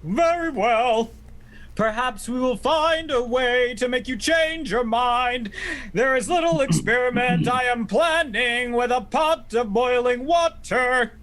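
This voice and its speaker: A man's voice: very high-pitched, slow, fairly bright tone, soft background music, heavily acted. A man playing a scheming, mock-courteous villain in a 1940s-style radio play, silky and condescending. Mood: silky menace. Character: scheming, mock-courteous mad villain leader of warring vegetables.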